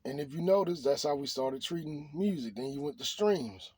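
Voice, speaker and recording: A middle-aged man shares his opinions off the cuff in a husky voice that sits a little high. He is emphatic and somewhat preachy, circling back to repeat his points. The sound is dry, with little room around it. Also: lively intonation, normal speed, a little mellow, no echo. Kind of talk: unscripted opinion monologue.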